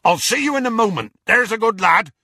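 A man voicing a gigantic bearded fellow in an audiobook, hearty, loyal and rough-spoken, with a gruff colloquial manner. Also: a little high, sing-song, a little slow, sharp tone, dry recording, clean studio sound, pitched above the performer's own voice, full character voice. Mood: hearty bellowing. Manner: bellowing, panting, gruff, colloquial.

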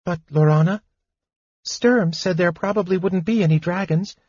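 A man doing a squeaky, jittery little kender. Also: darkish tone, slightly rough, no echo. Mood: worried. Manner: stammering, high and quick. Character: small excitable male kender, nervous. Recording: dull dry lo-fi audiobook recording.

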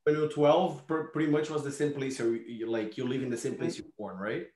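A male host of a martial arts interview show talks casually and rambles, tagging many of his sentences with "right?" His voice is mid-pitched. The room around him sounds echoey. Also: fairly even intonation, brisk, darkish tone. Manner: rambling, with many tag questions. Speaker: podcast host, martial artist.

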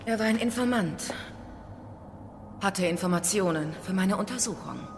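A woman's voice: medium pitch, normal speed, noisy background, lightly acted. An actress playing a cool, controlled, secretive female investigator in an audio drama. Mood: cool, warning. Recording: hall reverb, prominent music bed.

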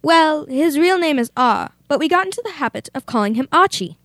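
Young woman as blithe lady, high deadpan voice. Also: fairly quick, no room sound, studio quality. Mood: matter-of-fact. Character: blithe, unbothered lady, sister of a murdered man. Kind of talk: comic old-time radio theater acting.

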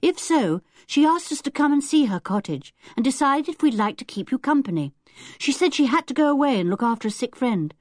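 A woman voicing a sensible older boy who leads the group calmly and firmly. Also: high voice, a little fast, no room sound, voice pushed up. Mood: steady. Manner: reasonable, calm, firmly in charge.

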